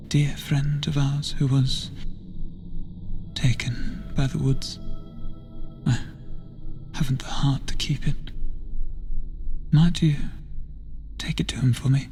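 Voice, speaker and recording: A man playing a gentle, guilt-ridden lantern bearer in a fantasy video game, speaking softly in old-fashioned phrasing, half-whispered, hesitant and solemn. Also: mid-range voice, level tone, slow, long pauses, faint music behind. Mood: mournful. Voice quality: breathy, husky, half-whispered. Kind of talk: soft-spoken video game NPC dialogue, theatrical archaic phrasing.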